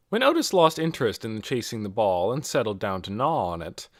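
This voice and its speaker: A man's voice: mid-range voice, no echo, clean studio sound. A man reading a scripted novel aloud as an audiobook narrator. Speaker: audiobook reader.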